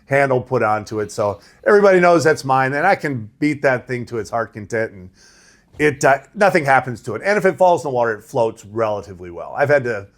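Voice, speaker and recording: A middle-aged man with a big voice, a rowing coach answering interview questions in a relaxed, conversational way. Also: medium pitch, fast talker. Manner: conversational, laughs easily, says right? and you know.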